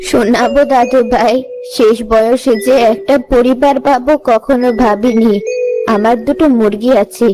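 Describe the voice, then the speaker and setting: A woman's voice: a little high, steady tone, moderate pace, natural voice, rough recording. A woman voicing a tender, motherly village woman in a moral-story cartoon. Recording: music under the voice.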